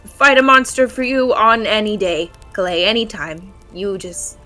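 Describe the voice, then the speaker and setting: A woman's voice: medium pitch, normal speed, bright, forceful tone, close to an everyday voice. A woman playing an awkward, anxious male warlock in a fantasy audio drama game, stammering and hesitant but earnest, with music behind. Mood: awkward, eager.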